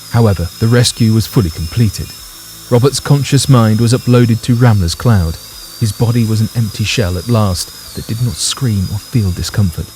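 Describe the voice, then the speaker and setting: A man narrating a scripted audio drama in a grave, theatrical storytelling manner. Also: lowish voice.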